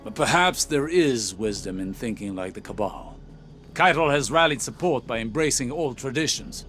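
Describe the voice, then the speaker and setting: An actor playing an aloof male mentor who speaks in a measured, commanding way. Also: medium pitch, animated, fairly bright tone, faint music behind, lightly acted. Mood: urgent. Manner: measured, cool, stern. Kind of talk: video game cutscene dialogue. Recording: game score behind.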